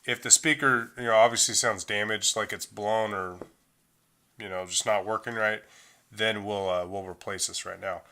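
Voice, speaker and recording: A middle-aged man talks through a phone repair as a hands-on tutorial. His voice sits a little low, but it sounds bright and forward. His delivery is casual and unpolished, full of fillers and restarts. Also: moderate pace. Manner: relaxed, loose delivery with frequent fillers.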